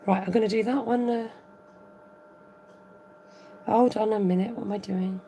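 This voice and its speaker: A woman hosting a live card-making tutorial, thinking aloud as she works, chatting with viewers and reading out their comments. Her voice is a little croaky. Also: medium pitch, fairly even intonation, moderate pace, mellow, slight background noise. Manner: rambling, thinks aloud while working. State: slightly croaky voice. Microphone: overhead camera above a craft table, voice from off-frame.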